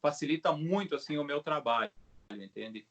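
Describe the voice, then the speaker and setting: A middle-aged Brazilian man with a slightly high voice talks casually as an interviewed craftsman. He explains things haltingly, restarting and adding little tag questions as he goes. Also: lively intonation, muffled recording. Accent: Brazilian Portuguese. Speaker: craftsman, bow maker being interviewed. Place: video call.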